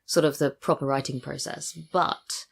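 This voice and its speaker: A woman's voice: very deep voice, fairly even intonation, moderate pace, creaky, breathy edge. A woman in her twenties chatting casually as a podcast guest who writes audio drama. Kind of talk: casual interview chat.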